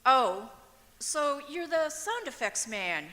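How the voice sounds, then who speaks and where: Naive admirer, female actor: high-pitched, sweet, old-time radio comedy, echoey stage hall. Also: big pitch swings, takes it slowly, pushed and bright, exaggerated voice. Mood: naively admiring. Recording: echoey auditorium stage recording.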